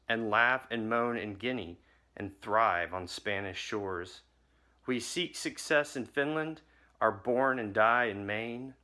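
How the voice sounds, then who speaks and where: A man lighting the chalice at a service and reading a poem in a measured way. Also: lowish voice, sharp tone.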